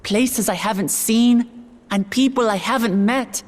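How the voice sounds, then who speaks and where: An actress playing an earnest, warm-hearted young woman who has just become ruler, delivering a heartfelt ascension speech in a video game cutscene. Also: fairly high pitch, measured pace. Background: orchestral game music.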